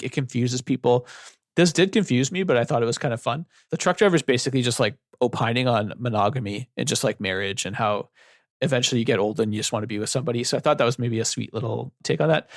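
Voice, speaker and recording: A man's voice: medium pitch, no room sound, clean studio sound. A young man co-hosting a book club podcast, talking casually with lots of fillers and loose, rambling sentences. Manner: relaxed, rambling with many fillers.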